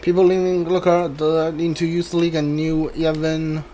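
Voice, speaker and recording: A man's voice: on the high side, little pitch movement, moderate pace, warm tone. A man giving casual video game playthrough commentary, mumbling his thoughts aloud as he plays. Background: game music.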